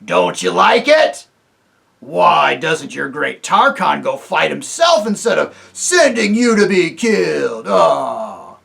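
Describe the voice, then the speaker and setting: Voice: mid-range voice, sing-song, takes it slowly, gravelly, a bit bright, pitched above the performer's own voice, heavily acted. A storyteller voicing a band of dwarfs who jeer and taunt from a distance. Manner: mocking and taunting. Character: jeering, sneering band of dwarfs.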